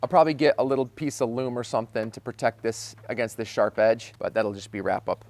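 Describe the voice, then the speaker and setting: A man's voice: mid-range voice, fairly even intonation, fast talker, darkish tone. An American man hosting a DIY car video, narrating a how-to casually and informally, thinking aloud as he works. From Michigan, United States.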